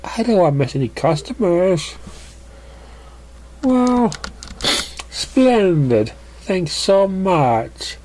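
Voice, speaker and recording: A narrator voicing a refined, fussy female fashionista pony with theatrical, drawn-out delivery for a story. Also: medium pitch, very animated, mellow, a little hiss or hum, pitched above the performer's own voice. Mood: gleeful, busy.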